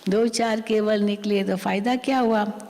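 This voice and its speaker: A woman spiritual teacher giving a sermon, explaining a scripture reading slowly and repeating her points. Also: medium pitch, steady tone, a little mellow. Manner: slow, repetitive, explanatory. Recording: long hall reverb.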